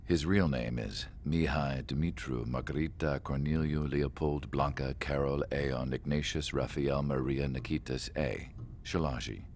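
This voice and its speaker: A wistful, measured storyteller with a very deep, gravelly voice. He looks back on the past in calm narration for a game cutscene, with faint noise behind him.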